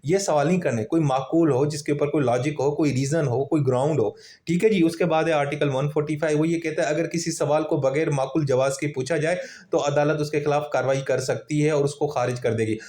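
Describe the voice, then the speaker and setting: A man's voice: on the high side, fairly even intonation, brisk, a little mellow. A man with a Pakistani accent giving an informal, explanatory law lesson, chatting to students and mixing in English terms. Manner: conversational, addresses listeners casually, mixes in English terms. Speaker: law lecturer.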